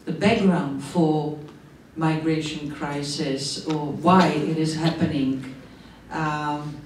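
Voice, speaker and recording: A woman's voice: low voice, fairly even intonation, darkish tone, a little hiss or hum. A middle-aged woman with a Czech accent, a non-native English speaker, giving a panel talk into a handheld mic and often searching for words. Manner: slow, searching for words, apologetic. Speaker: panel speaker, migration expert. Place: conference room, seated at panel table. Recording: echoey room, distant sound.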